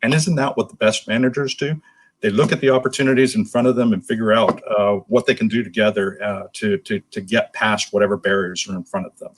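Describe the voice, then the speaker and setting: A man's voice: on the low side, fairly even intonation, a little fast, low-quality recording. A man speaking as an interview guest, answering conversationally in an earnest, explanatory way. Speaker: interview guest, nonprofit executive.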